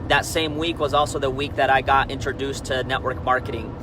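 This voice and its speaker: A man's voice: medium pitch, fairly even intonation, fairly quick. Guy in his 30s from peru, chatty live stream talk, lots of restarts, traffic noise behind. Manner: candid, rambling, frequent restarts and "right?" asides. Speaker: network marketing leader. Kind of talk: Facebook Live motivational talk.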